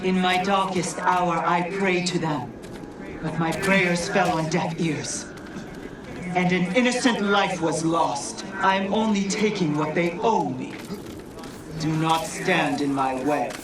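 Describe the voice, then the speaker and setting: A woman's voice: fairly low pitch, slow. An actress voicing a bitter, vengeful old woman spirit in a game, speaking coldly and with resentment, echoey and distant over crowd noise. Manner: cold, resentful, threatening.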